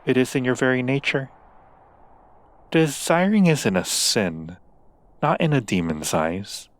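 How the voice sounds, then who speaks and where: A man's voice: mid-range voice, lively intonation, natural voice. A man playing a seductive, coaxing demon in an ASMR roleplay, speaking with silky, formal persuasion to a mortal. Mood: persuasive. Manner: slow, silky persuasion with formal, deliberate wording.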